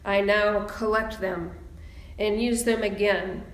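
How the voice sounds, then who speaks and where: Slow-speaking woman preacher in a roomy space. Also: mid-range voice, steady tone. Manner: measured, deliberate, with pauses for emphasis. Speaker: minister leading a church service. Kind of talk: sermon and slow, solemn readings. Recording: roomy, echoing sound.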